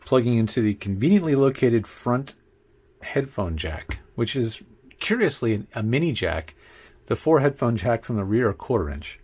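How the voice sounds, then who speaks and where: A man's voice: medium pitch, big pitch swings, normal speed, darkish tone, breathy edge. A man presenting a casual hands-on audio gear tutorial, thinking aloud in a conversational way on a close studio microphone. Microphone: close studio podcast microphone.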